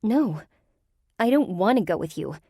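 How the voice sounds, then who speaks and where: A woman's voice: mid-range voice, animated, unhurried, dark tone, breathy edge, no echo, clean studio sound, pitched above the performer's own voice. A woman voicing a frightened young woman who pleads anxiously.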